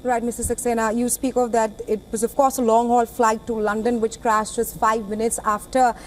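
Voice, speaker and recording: Female breaking-news anchor, fairly high register, light ambient noise behind the voice. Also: flat delivery, moderate pace. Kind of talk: live breaking news interview.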